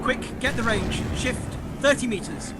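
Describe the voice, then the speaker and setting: Man playing a shouty artillery officer, very high voice, far off mic, engines rumbling behind. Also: expressive, measured pace, noisy background. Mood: commanding. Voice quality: clipped shouted commands. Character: barking male artillery officer in battle.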